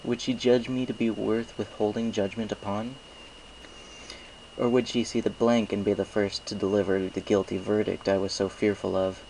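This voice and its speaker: A man with a fairly low voice narrates a piece of fiction. He reads from a script as an amateur storyteller, with faint noise in the home recording. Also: little pitch movement, moderate pace, creaky, darkish tone, rough recording. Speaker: fan fiction reader.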